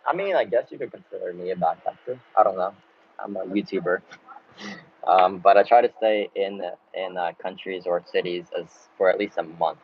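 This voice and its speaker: A man, though his is Russian. He answers live chat questions in a relaxed, chatty way and laughs between answers. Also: sits low, moderate pace. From Ukraine, grew up in the United States. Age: 20s. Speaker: travel YouTuber. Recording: dull lo-fi sound with faint background noise.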